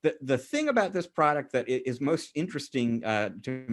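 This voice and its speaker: A man's voice: mid-range voice, sing-song, brisk. A man co-hosting a podcast over a video call, speaking hesitantly and self-deprecatingly, with many fillers. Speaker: podcast co-host. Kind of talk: casual chat.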